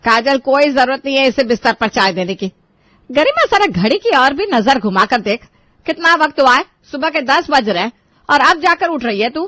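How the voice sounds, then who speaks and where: A woman voicing a scolding middle-aged mother in an animated family drama, stern and lecturing. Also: high voice, moderate pace.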